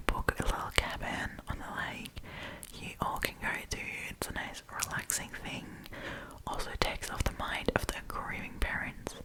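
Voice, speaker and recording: Whispering woman, casual ASMR storyteller. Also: poor audio. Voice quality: breathy, unvoiced whisper. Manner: informal, conversational, opinionated. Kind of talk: whispered ASMR, casual chatty commentary on stories.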